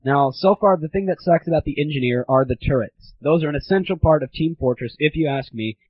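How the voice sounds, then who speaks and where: A man's voice: fairly high pitch, a little fast, a little hiss or hum. A young man hosting a gaming audio show with casual, opinionated reviews in an irreverent, slangy way on a lo-fi recording. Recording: slightly dull lo-fi home recording.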